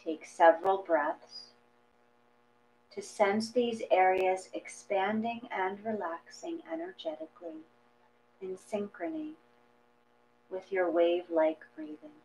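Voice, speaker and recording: Slow-paced woman guiding a meditation. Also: medium pitch, animated. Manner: gentle, measured, with long pauses between phrases. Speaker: guided meditation reader. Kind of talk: slow guided meditation, read from a script. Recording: dull lo-fi audio with mains hum.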